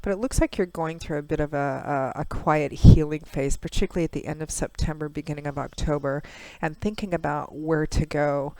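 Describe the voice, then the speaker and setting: A woman tarot reader, hoarse from a cold, talks through a monthly reading in a measured, conversational way with frequent pauses and fillers. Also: sits low, fairly even intonation, warm tone, no room sound, poor audio. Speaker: tarot reader, channel host.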